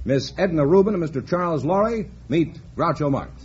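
A man announcing a radio quiz show with booming, declamatory introductions. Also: expressive, brisk, a little mellow, slightly rough. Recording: muffled 1950s radio broadcast with music.

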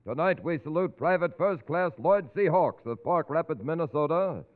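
An American man announcing in 1940s radio style. His voice sits a little high and comes through a thin, phone-like old broadcast. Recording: tinny, narrow-band 1940s radio broadcast.

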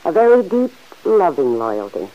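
Aunt Mary, lively motherly farm aunt. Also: low-pitched. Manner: gently chiding, motherly, quick to laugh. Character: Aunt Mary, kindly middle-aged farm aunt. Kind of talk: 1940s radio acting. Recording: dull old radio recording.

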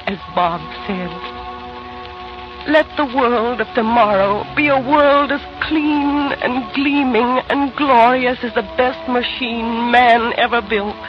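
An actress playing an anguished, prayerful wife facing death in a 1940s radio drama. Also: female voice, on the high side, natural voice. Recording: dull 1940s radio recording, music behind.

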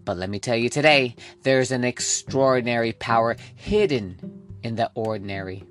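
A man's voice: medium pitch, normal speed, soft background music. A man hosting a motivational podcast, delivering a scripted monologue in a hyped, playful way and drawing out key words for drama. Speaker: podcast host.

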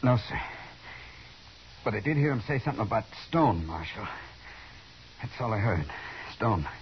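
A man's voice: fairly low pitch, animated, moderate pace, close to an everyday voice. An actor playing a wounded, guarded frontier townsman in a 1950s radio Western, weak and in pain, with an American accent. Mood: weak, evasive. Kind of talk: 1950s radio drama acting. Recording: muffled, hissy 1950s radio recording.

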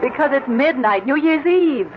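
Concerned wife in old 40s radio play, high voice, earnest n theatrical, dull staticky recording. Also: female voice, animated, moderate pace. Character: earnest, concerned woman, sweetheart or wife, gently firm. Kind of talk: 1940s radio drama acting. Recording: noisy, muffled old-time radio recording.

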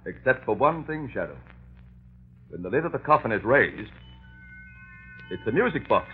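An actor playing a sober doctor, grave and explanatory, in an old radio drama. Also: male voice, mid-range voice, very animated, normal speed, breathy edge, faint music behind. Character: sober, steadying male doctor, explaining grimly. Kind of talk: 1930s radio acting. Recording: noisy, dull old radio recording.

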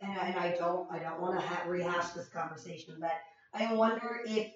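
A woman co-hosts a casual podcast chat, tossing in quick playful remarks. She sounds far from the mic in a very echoey room. The sound is muffled. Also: fairly low pitch, fairly even intonation. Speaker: podcast co-host. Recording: echoey, far from mic, dull lo-fi, faint hiss.

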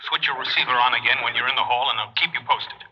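An actor playing a cool, controlling crime mastermind giving brisk, businesslike orders in a radio drama. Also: male voice, mid-range voice, steady tone, natural voice. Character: cool, controlling male heist mastermind, coldly threatening. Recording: muffled vintage radio recording.

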